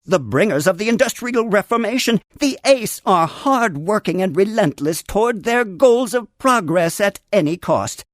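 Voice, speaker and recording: A woman voicing a wise, earnest creature who tends a great tree in a children's computer game, with theatrical, formal storybook phrasing and gentle humor. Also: medium pitch, expressive, moderate pace, hardly any pauses, dry recording, clean studio sound, close to an everyday voice. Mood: grand, welcoming. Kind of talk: scripted game character narration.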